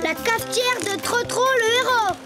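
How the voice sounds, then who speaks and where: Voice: very high-pitched, moderate pace. A performer voicing a cheerful, boastful little boy donkey in a children's cartoon, with playful, simple phrasing. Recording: music behind the voice.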